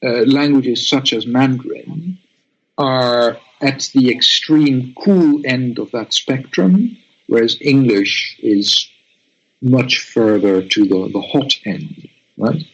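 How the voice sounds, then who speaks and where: A man's voice: medium pitch, slow, breathy edge, dull audio. A man whose first language is Dutch, a guest researcher answering interview questions thoughtfully, with many restarts and tag questions.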